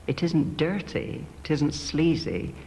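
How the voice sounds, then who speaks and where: A man speaking reflectively as a clergyman interviewed, in a thoughtful, measured and hesitant manner. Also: on the high side, very animated, vocal fry, dark tone. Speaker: clergyman who plays pantomime dame. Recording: echoing hall, noisy old archive audio.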